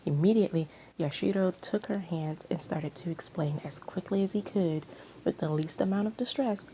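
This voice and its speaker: Female story reader: slightly low, relaxed tone, expressive narration, faint noise behind the voice. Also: normal speed, poor audio. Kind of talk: expressive fan fiction narration.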